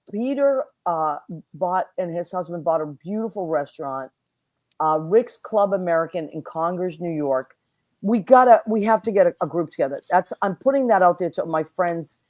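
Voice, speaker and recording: A woman hosting a casual online talk show, whose first language is Italian, chatting and giving friendly shout-outs with plenty of fillers. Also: fairly low pitch, moderate pace, dry recording. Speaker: host. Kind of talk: casual chat.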